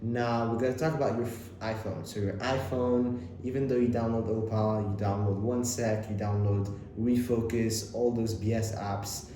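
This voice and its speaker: A man's voice: fairly low pitch, fairly even intonation, warm tone. Man with Arabic as first language, very echoey room. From Lebanon. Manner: fast, repetitive, with many restarts and fillers. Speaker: self-improvement vlogger. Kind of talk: casual talking-to-camera advice. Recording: very echoey room with clear background noise.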